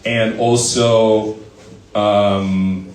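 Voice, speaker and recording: A man with a fairly low voice tells founder stories at a live event, rambling and full of fillers. The sound is roomy and echoey, his voice picked up from a distance. He talks in a loose, anecdotal way. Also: flat delivery, drawn-out words, darkish tone. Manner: talkative, many fillers, anecdotal. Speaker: startup founder and CEO as guest. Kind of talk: casual Q&A answers at a live event. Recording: echoey room, voice from far off.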